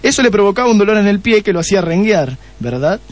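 A man's voice: high voice, very animated, dry recording. A man playing a smug, theatrical police detective with an Argentine accent in a comedic radio drama. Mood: self-satisfied. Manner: self-satisfied, explaining with dramatic pauses.

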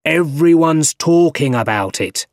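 A man voicing a bossy, blustering middle-aged newspaper boss in a storybook reading, loud and exclamatory. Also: medium pitch, takes it slowly, dry recording, studio quality, close to an everyday voice. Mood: excited bluster.

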